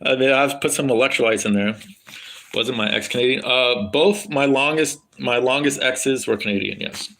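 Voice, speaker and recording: A man's voice: mid-range voice, normal speed, gravelly, a bit bright, rough recording. A man with a standard American accent chatting loosely with his live stream viewers, swearing freely and rambling with lots of fillers. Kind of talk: casual rambling chat with viewers.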